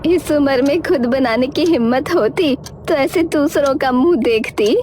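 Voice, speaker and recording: A woman's voice: high-pitched, a little slow, darkish tone, slight background noise. A woman voicing a demanding, complaining old village woman in a children's cartoon. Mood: grumbling. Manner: complaining, bossy. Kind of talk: Hindi children's cartoon voice acting.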